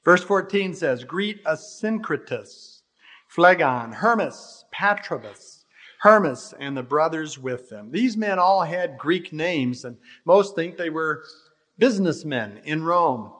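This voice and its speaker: An older American man preaching an expository sermon in a measured, deliberate way, stressing phrases and letting the endings fall away. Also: a little high, animated, a bit bright. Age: older, about 60. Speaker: pastor. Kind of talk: expository sermon with scripture readings.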